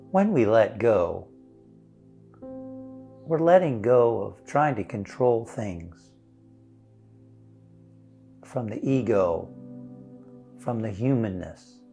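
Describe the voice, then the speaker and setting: Guy doing a guided meditation, really slow and soothing, long pauses, music playing behind him. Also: on the low side, animated, creaky, warm tone. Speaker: minister leading a guided meditation. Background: instrumental music.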